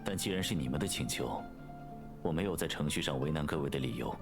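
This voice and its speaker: A man playing a composed, formal male judge of high standing in a video game, speaking with measured politeness. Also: animated, music in the background. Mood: courteous, composed. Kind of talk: Chinese video game voice acting.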